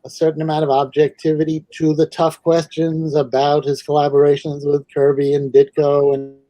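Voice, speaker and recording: A man's voice: on the high side, monotone, normal speed, dark tone, no echo, rough recording. New York man on a video call. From New York City. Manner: thoughtful, hesitant, many restarts. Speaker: guest author. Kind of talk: casual video call interview.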